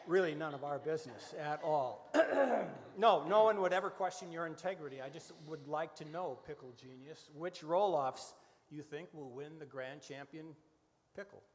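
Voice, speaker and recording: Man playing a slightly high-voiced, eager festival announcer. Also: moderate pace, lightly acted. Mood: placating. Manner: chatty, hesitant. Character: eager, placating male festival broadcast host. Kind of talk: comic live radio play. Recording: live reverberant hall.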